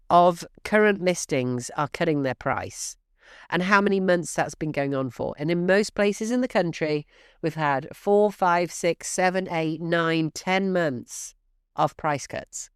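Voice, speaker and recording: Snuffly woman host giving brisk advice. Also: fairly low pitch, smooth, no echo, clean studio sound. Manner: brisk, emphatic, coaching tone, addresses listeners as "guys". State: stuffy and snuffly from allergies. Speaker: real estate podcast host. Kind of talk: direct-to-listener podcast advice.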